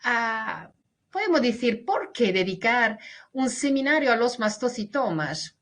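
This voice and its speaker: Slow, bright-voiced woman lecturing. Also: fairly high pitch, very animated. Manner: hesitant, restarts words, mixes in English and Portuguese. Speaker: veterinary pathologist lecturer. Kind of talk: webinar lecture. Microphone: video call.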